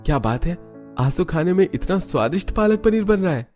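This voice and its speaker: A man's voice: sits high, big pitch swings, brisk, a little mellow, faint music behind. A man playing an appreciative working man of a poor family in a cartoon story, with a mild manner. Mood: pleased.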